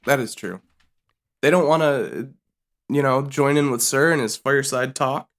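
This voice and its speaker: A man's voice: mid-range voice, normal speed. A man from Texas co-hosting a podcast, goofy and self-mocking, laughing often. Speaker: podcast co-host. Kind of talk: casual comedic banter.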